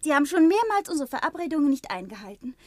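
A devoted young-sounding wife in a 1960s radio crime play. Her voice is high, with an earnest, ladylike manner, anxious yet stubbornly firm. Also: very animated, fast talker, no room sound. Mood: cool, determined. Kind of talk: 1960s German radio crime drama acting.

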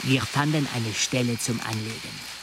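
An actor playing an expedition member recounting a journey in a radio play, measured and matter-of-fact. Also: male voice, mid-range voice, fairly even intonation, vocal fry, very noisy, natural voice. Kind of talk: scripted narration in a German radio play.

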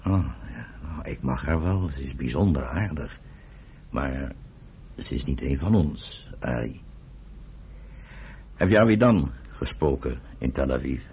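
An actor playing a stern, embittered elderly father and political leader in a radio drama, speaking gravely and deliberately. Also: extremely low pitch, animated, darkish tone, a little hiss or hum, exaggerated voice. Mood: friendly, curious. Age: older.

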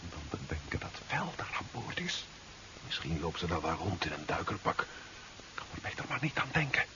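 An actor playing a bold, determined adventurer in a 1970s science fiction radio drama, speaking briskly and urgently. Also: male voice, deep voice, sing-song. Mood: hushed, tense. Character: bold, determined male adventurer in a sci-fi serial. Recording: dull, noisy 1970s radio play recording.

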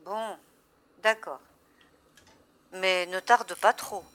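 A woman's voice: mid-range voice, fairly even intonation, extremely slow, a bit bright. A woman playing an anxious, loving mother heard over a distant call link. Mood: concerned.

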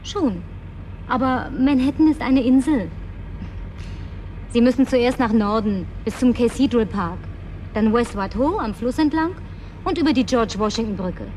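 Brusque, high-voiced woman survivor. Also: expressive, measured pace, pauses often, darkish tone, a little hiss or hum. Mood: dry, brisk. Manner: snappish, mocking, bossy. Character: brusque, sarcastic woman survivor in deserted New York. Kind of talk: radio play acting.

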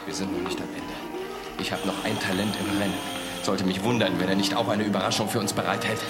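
A man's voice: on the low side, level tone, fast talker, close to an everyday voice. An actor playing a driven, scheming male swimming coach in a German radio drama. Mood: subdued, determined. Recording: roomy echo, music behind.

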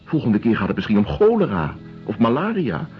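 A man's voice: mid-range voice, wildly swooping pitch, brisk. A man playing an ailing, idealistic doctor in a radio drama, insistent and dismissive about his illness. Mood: fervent, insistent. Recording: dull radio recording with music behind.

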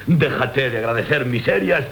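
Un actor de acento castellano interpreta a un capitán galante, orgulloso y rumboso, con tono grandilocuente de comedia clásica radiofónica. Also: male voice, normal speed, a bit bright, a little hiss or hum, close to an everyday voice. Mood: gallant, doting. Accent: Castilian Spanish. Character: gallant, free-spending captain, proud and doting lover. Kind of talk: classic Spanish comic radio theatre. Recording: rumbly 1980 radio drama recording.

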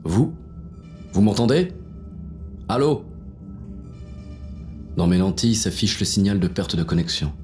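Very deep, jaded police inspector. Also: male voice, lively intonation, unhurried, long pauses, darkish tone, faint music behind. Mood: tense, irritated. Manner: world-weary, slangy, blunt. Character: jaded, wary, hard-bitten male police inspector. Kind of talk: first-person noir audio drama narration.

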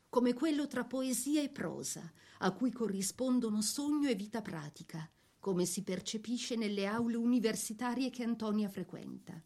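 A woman narrating a scripted literary biography for a radio drama in a measured, literary delivery. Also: a little high, warm tone.

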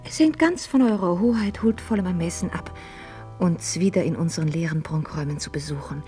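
An actress playing a candid, ironic young upper-class woman in a German radio play, speaking in articulate, formal phrases with gentle mockery. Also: on the low side, brisk, faint music behind, natural voice. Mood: cool, ironic. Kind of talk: mid-century German radio play acting.

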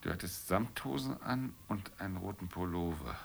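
A man's voice: low voice, lively intonation, moderate pace, vocal fry. An actor playing a weary, middle-aged middle-class man who grumbles and muses wryly in a naturalistic radio drama. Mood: wry reminiscence.

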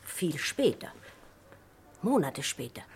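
An actress playing an earnest, uneasy witness in a German radio crime drama, hesitant yet sure of herself. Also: female voice, low voice, very animated, slow pace, exaggerated voice. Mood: earnest, defensive. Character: earnest, uneasy woman witness, a policeman's wife. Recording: faintly noisy vintage radio drama recording.

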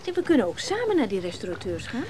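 A woman's voice: a little high, big pitch swings, fast talker, darkish tone, slight background noise. An actress playing a flamboyant, insistent married woman, direct and coaxing, in a naturalistic radio drama.

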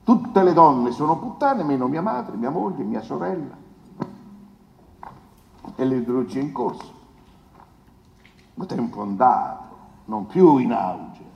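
Pompous, swooping courtroom lawyer in an echoey hall. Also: male voice, mid-range voice, big pitch swings, slow, creaky, long pauses, lo-fi sound, full character voice. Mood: indignant oratory. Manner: pompous, rhetorical, condescending. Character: condescending, sardonic male defence lawyer in 1970s Italian courtroom. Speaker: defence lawyer in a stage play. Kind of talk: theatrical courtroom oration. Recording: echoey hall, faint noise.

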